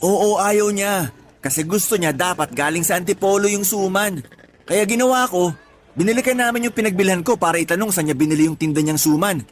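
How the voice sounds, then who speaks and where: A man's voice: high voice, brisk, natural voice. An actor playing an exasperated husband of a pregnant woman in a radio drama, complaining in an emphatic, colloquial way. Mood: aggrieved venting. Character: exasperated young husband of a pregnant, craving partner. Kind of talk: Tagalog radio drama acting.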